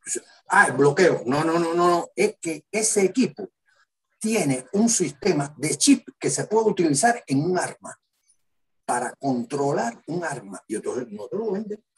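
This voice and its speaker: An older man from Cuba with a Cuban Spanish accent, a guest analyst talking in an emphatic, lively way. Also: fairly high pitch, unhurried. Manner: lively, emphatic, acts out quotes in varied voices. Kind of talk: animated interview talk.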